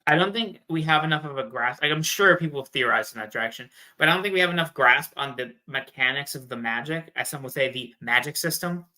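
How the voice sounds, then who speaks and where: A man's voice: medium pitch, quick pace, hardly any pauses, pushed and bright. A man in a casual fan discussion, explaining things in a slangy, playful way as a podcast guest.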